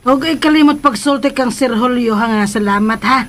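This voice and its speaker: A woman's voice: fairly high pitch, fairly quick, close to an everyday voice. An actress playing an older, plain-spoken, nagging mother from the provinces in a radio drama, with a Cebuano Filipino accent. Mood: chatty. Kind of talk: Philippine radio drama acting.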